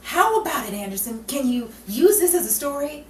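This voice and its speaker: A woman's voice: sits high, very animated, fairly quick, darkish tone. A woman playing a sarcastic, exasperated 1940s Hollywood wisecracker in theatrical radio comedy style. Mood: sardonic. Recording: roomy, distant mic, noisy live room.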